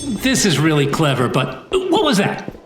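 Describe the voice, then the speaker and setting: A bewildered science professor in a sci-fi thriller. The delivery is slow, hesitant and incredulous, with extremely mobile pitch. The sound is roomy, with faint music behind. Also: huge pitch swings. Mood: guarded, unsettled. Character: bewildered, skeptical science professor caught in danger. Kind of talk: action sci-fi film acting.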